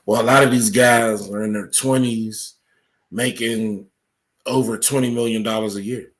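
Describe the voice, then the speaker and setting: A man's voice: on the low side, fairly even intonation, moderate pace. A man answering questions as a podcast guest, explaining his points in a conversational way. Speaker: podcast guest, article author. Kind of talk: interview answers, explanatory talk.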